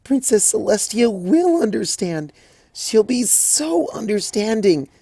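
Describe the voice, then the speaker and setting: A woman's voice: very animated, takes it slowly, warm tone, breathy edge, dry recording, heavily acted. A woman doing a frantic, lovesick young unicorn mare. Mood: despairing. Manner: high, wailing and sobbing. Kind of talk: fanfic reading.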